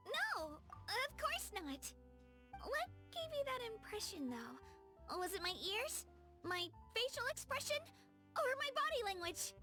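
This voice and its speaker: Very high girlish voice, shy game character, anxious, polite, hesitant stammer, bright tone, music behind. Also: exaggerated voice. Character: shy, anxious, polite young girl, eager to please.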